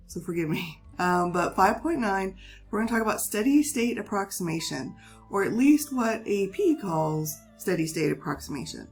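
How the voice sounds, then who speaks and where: A woman teaching chemistry, her voice a bit hoarse from a cold, with a gravelly edge that sits low in her range. She is patient and reassuring as she steps through each idea. Faint music plays behind her. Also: a little mellow. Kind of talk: recorded classroom lesson.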